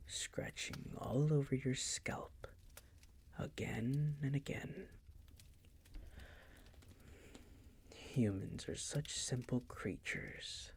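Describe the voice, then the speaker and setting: A man playing a possessive, condescending vampire master in an intimate roleplay audio, teasing in a breathy, gravelly, often whispered voice. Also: medium pitch, a little mellow. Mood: soothing, condescending. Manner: slow, teasing, condescending purr.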